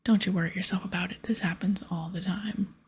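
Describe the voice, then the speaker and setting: A woman voicing a bossy, sarcastic male pirate captain from a game in a breathy whisper. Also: lowish voice, steady tone, brisk, slight background noise, lightly acted. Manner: brusque, sarcastic, teasing. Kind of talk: whispered game dialogue reading.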